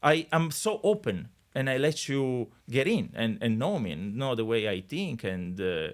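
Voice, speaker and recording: A middle-aged Italian man, a guest on a podcast, speaking English with an Italian accent in an animated, jokey way, with many restarts. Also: medium pitch, normal speed. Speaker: guest, real estate agent. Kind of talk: casual podcast conversation.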